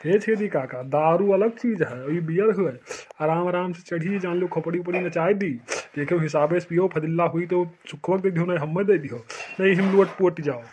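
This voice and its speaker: A man plays a bossy cartoon villager with a notably high voice and an Awadhi accent. He scolds and threatens in blunt rustic speech, thoroughly exasperated with his companions. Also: moderate pace, a little mellow, dull audio, slight background noise, close to an everyday voice. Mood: boastful advice. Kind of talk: comic cartoon dialogue.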